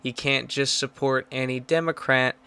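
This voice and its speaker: A man talking politics in an urgent, emphatic way, hammering each point home. His voice is bright and forceful, with a smooth, crisp tone that stays clean throughout. He sounds like a commentator who has no patience left for half-measures. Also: medium pitch, fairly even intonation, normal speed.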